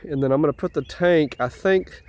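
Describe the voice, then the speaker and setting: A man's voice: on the high side, moderate pace, darkish tone. A man with a stuffy head cold, casually walking viewers around his house build outdoors, easygoing and rambling. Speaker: homeowner vlogger. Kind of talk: casual site walkthrough.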